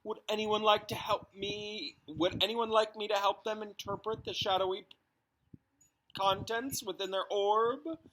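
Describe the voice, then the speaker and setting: A man voicing a theatrical, dreamy woman fortune-telling teacher in a misty, drawn-out voice for a storybook read-aloud. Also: sits high, steady tone, a bit bright, a little hiss or hum, voice pushed up, rough recording. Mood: airy, scolding. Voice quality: misty, breathy delivery. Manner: drawn-out, dramatic, airy.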